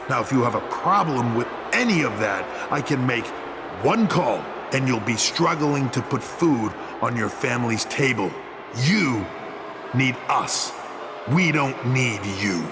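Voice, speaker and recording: Arrogant wrestling boss, mid-pitched, condescending, threatening, video game cutscene, very loud arena noise. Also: male voice, sing-song, unhurried. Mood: menacing. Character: domineering male corporate wrestling boss, menacing and arrogant.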